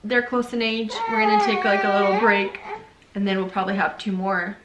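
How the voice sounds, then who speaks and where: A woman's voice: mid-range voice, fairly even intonation, fairly quick. A young woman from Ukraine chatting casually with her husband in a home vlog, laughing often. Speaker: vlogger. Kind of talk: casual couple Q&A chat. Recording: echoey room, faint noise.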